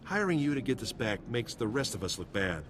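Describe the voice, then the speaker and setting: A harried officer, swooping intonation. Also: male voice, medium pitch, very animated, brisk, fairly bright tone, faint music behind. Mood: harried, grudging. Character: harried, pragmatic male security officer, grudging and weary. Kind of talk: video game voice acting. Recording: background ambient noise.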